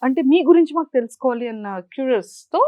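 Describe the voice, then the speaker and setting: A woman's voice: sits high, very fast, no echo, narrow, tinny audio. A woman interviewer hosting a casual celebrity chat, speaking Telugu with some English.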